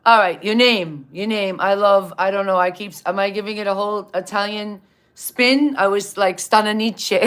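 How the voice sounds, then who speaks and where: Chatty female stream host, mid-pitched, talks loosely in an echoey room. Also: expressive, unhurried, a bit bright. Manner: chatty, rambling, apologetic, laughs often. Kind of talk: casual live-stream interview. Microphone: live-stream video call.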